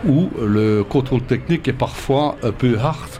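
A man being interviewed on outdoor radio, speaking in a measured, hesitant way with many fillers. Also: mid-range voice, crowd noise. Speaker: federation president, interview guest.

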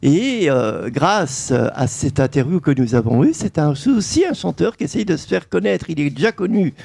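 Radio host, man, lively up-and-down delivery, warm gravelly tone, dry sound. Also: on the high side, moderate pace, no echo. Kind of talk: radio interview.

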